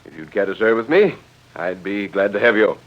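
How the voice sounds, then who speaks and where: An actor playing an assured, influential gentleman, polite and pragmatic, in 1940s radio drama style. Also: on the low side, animated, fairly quick, close to an everyday voice. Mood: assured, businesslike. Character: assured, influential, businesslike gentleman taking charge. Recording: dull old radio recording.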